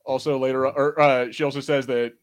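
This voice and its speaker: A man's voice: mid-range voice, flat delivery, a little fast, clear, resonant tone. A man co-hosting a casual watch-party film chat, rambling informally and swearing freely. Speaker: podcast co-host.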